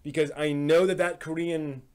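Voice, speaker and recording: A man's voice: on the high side, level tone, normal speed, a little mellow, clean tone. A man hosting a live stream, talking casually and thinking aloud, often breaking off and rewording as he goes.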